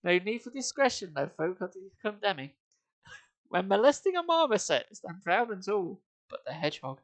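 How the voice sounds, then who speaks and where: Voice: medium pitch, big pitch swings, moderate pace, no echo. A streamer reading comic verse live in an amused, lilting singsong, with chatty asides.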